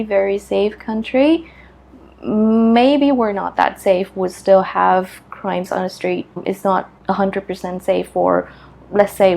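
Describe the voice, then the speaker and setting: Female vlogger, Vietnamese accent, mid-pitched and slow-paced, casual question-and-answer chat, thoughtful and halting. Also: creaky, a little mellow. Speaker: video creator answering questions.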